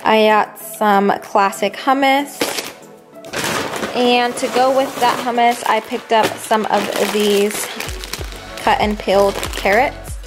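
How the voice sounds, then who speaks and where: A woman's voice: fairly high pitch, steady tone, takes it slowly, music in the background. A young adult woman talking casually and informally, in a slangy, conversational vlog style. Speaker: vlogger showing a grocery haul. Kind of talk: casual chatty vlog voice-over.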